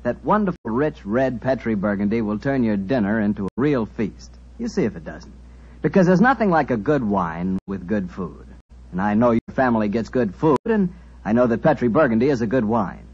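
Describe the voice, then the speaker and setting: Old time radio announcer guy, friendly salesy, animated, muffled old sound. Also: moderate pace, husky. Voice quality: friendly, persuasive salesman's pitch. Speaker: program host and wine announcer. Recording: dull lo-fi old radio broadcast.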